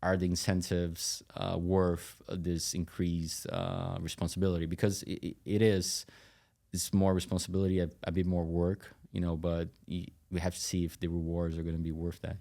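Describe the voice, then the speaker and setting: A man explaining things conversationally as an interview guest, in a halting way with frequent asides. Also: sits low, little pitch movement, creaky, warm tone. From Brazil. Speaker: tech company CEO, interview guest.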